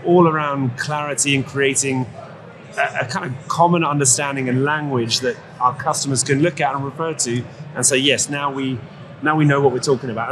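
With clear trade show noise behind him, a man speaks as an executive guest. His pitch is average for a man, and he explains things in a conversational, expert way. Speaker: guest, company chief executive. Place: live at an automotive trade show. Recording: noisy trade show floor. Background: clear ambient noise.

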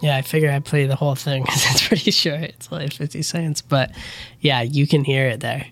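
A man's voice: mid-range voice, steady tone, brisk, gravelly, fairly bright tone, no echo. A man hosting a casual interview podcast, chatting in an easygoing way and often asking "you know what I mean". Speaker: podcast host and beat producer.

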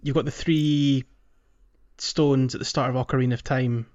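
Mid-pitched man on slightly dull audio. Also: fairly even intonation, moderate pace, darkish tone. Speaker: podcast co-host. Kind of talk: casual film and game discussion.

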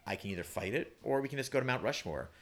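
A man's voice: mid-range voice, expressive, fast talker. A man with a Canadian accent telling personal stories casually as an interview guest, rambling a little, with self-deprecating humour. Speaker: playwright guest.

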